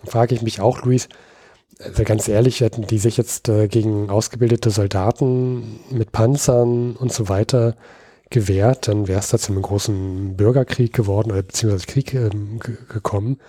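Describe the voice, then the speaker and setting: A man from Berlin co-hosting a history podcast, explaining at length and halting often with fillers. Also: fairly low pitch, steady tone, warm tone, breathy edge, dry recording, studio quality. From Berlin, Germany. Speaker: podcast co-host. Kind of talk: casual history podcast chat.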